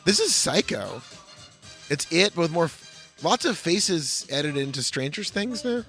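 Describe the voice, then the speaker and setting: An excitable man with a fairly high voice that swings around a lot, riffing through casual comic podcast banter. There is faint music behind him. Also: measured pace. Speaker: movie podcast host.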